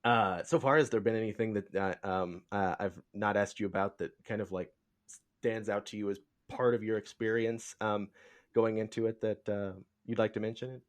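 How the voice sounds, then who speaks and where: A man hosting a podcast in casual interview chat, speaking haltingly and rambling as he searches for words. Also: on the low side, fairly even intonation. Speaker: podcast host.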